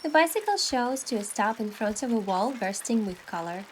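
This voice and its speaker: A woman's voice: on the high side, expressive, normal speed, a little hiss or hum. A woman reading scripted first-person story narration, with music behind her voice.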